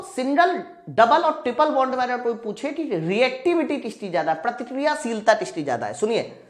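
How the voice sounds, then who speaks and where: A woman's voice: fairly high pitch, fairly bright tone. A woman teaching an exam-prep science class, emphatically working through questions, prompting students and repeating key points. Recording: roomy echo.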